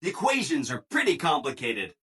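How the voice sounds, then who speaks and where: Bright, relentlessly chipper robot helper. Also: male voice, fairly high pitch, wildly swooping pitch, fairly quick, slightly rough, exaggerated voice. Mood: cheerfully explanatory. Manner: perky, over-polite, chirpy exclamations. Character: relentlessly cheerful, eager-to-please reprogrammed robot assistant. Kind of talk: video game character dialogue.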